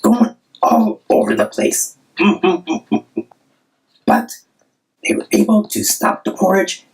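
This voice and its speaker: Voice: mid-range voice, fairly even intonation, slow, a little mellow, recorded from a distance, low-quality recording. A performer voicing a cheerful, friendly monster who hosts a children's story show in simple, chatty talk. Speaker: host of children's story channel.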